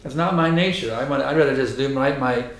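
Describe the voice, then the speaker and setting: A hoarse man giving a temple lecture and answering questions, emphatic and insistent, repeating his points. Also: mid-range voice, quick pace. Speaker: spiritual teacher giving a lecture. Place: temple hall. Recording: echoey hall.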